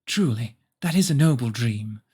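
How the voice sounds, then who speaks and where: A man's voice: medium pitch, lively intonation, normal speed, a little mellow, husky. Man doing an earnest, wholesome little boy. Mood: happy, grave. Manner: polite, wide-eyed, solemn.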